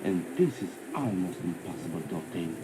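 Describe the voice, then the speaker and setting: An actor playing a solemn, indignant political speaker giving a deliberate, declamatory broadcast address in a game. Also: male voice, low-pitched, expressive, heavy background noise. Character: grave, indignant political speaker denouncing the government.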